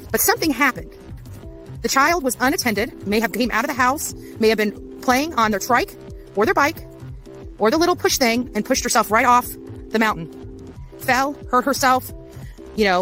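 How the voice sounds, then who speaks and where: A woman's voice: fairly high pitch, a bit bright, poor audio. A woman giving blunt, certain commentary on location for a video. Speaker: online case commentator.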